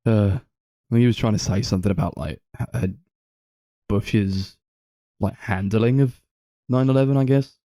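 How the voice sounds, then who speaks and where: A man with a lowish, dark and relaxed voice discusses films casually. He sounds like a podcast co-host recorded dry and close. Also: normal speed, long pauses, no echo.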